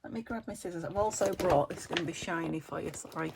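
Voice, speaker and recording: A middle-aged woman with a croaky voice from a cold, chatting casually while she crafts and asking little tag questions. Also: lowish voice, fast talker, background noise. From England. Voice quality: gravelly. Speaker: craft vlogger. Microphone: phone camera.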